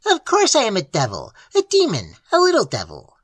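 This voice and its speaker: A reader voicing a small, malicious male demon familiar who taunts his master in a shrill, gleeful, mocking way. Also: huge pitch swings, normal speed, dry recording. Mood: gloating.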